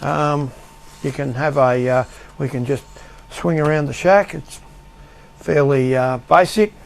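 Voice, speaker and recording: A man giving an unscripted show-and-tell of his projects as an amateur radio operator, speaking in a halting, matter-of-fact way. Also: a little high, gravelly. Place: indoor home radio shack.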